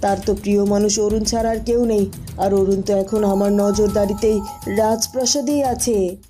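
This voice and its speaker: A woman's voice: mid-range voice, steady tone, normal speed, dark tone, soft background music, natural voice. A woman voicing a scheming, impatient evil queen in a cartoon fairy tale, haughty and agitated.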